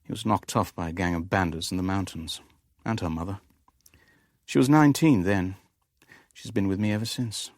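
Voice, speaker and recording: A man's voice: low-pitched, moderate pace, no echo. An actor playing a worldly, wry Levantine businessman who narrates a radio drama in a dry, clipped way. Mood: wry, matter-of-fact. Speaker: narrator and lead character. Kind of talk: radio drama first-person narration and dialogue.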